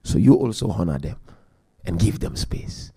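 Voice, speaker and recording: A man giving a Muharram religious lecture, counting off numbered points, chanting Quran verses quickly, and swinging between loud emphasis and quiet asides. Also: sits low, big pitch swings, creaky, slightly rough. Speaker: Muharram majlis speaker.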